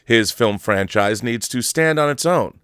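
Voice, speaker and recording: A man's voice: medium pitch, animated, a little slow, fairly bright tone, clean tone, no room sound, clean studio sound. A man hosting a solo podcast, talking casually and reading aloud with a theatrical, wordy showman's manner. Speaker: podcast host.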